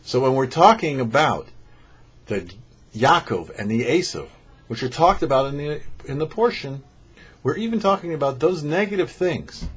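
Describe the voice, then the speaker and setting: A man's voice: on the high side, lively intonation, normal speed, husky, muffled recording. A man, a rabbi hosting a show, delivers prepared religious commentary in a didactic way, posing questions and then explaining.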